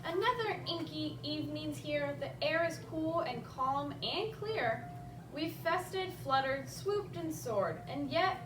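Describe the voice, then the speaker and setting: A woman hosting a children's story time, reading a picture book aloud expressively and chatting casually to the young listeners as an animated storyteller. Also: sits high, slow pace. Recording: roomy, distant microphone, music behind.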